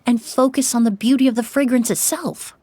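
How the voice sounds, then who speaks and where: An actress playing a young perfumer in a video game, polite, composed and measured. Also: female voice, fairly high pitch, steady tone, background noise, natural voice. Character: young female perfumer, poised and earnest.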